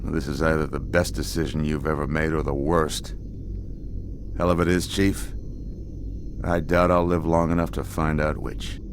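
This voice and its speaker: An actor playing a stern, skeptical human military commander in a game cutscene, blunt, grave and authoritative. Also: male voice, extremely low pitch, level tone, moderate pace, background noise. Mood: weary doubt. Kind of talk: dramatic video game cutscene acting.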